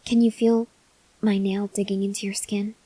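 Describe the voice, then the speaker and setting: A woman playing a gentle, awkward, hungry female vampire in an ASMR roleplay, speaking softly and hesitantly with breathy near-whispers and tender reassurance. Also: medium pitch, level tone, moderate pace, no echo, lightly acted, rough recording. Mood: careful, tender. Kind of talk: ASMR roleplay, intimate address to the listener.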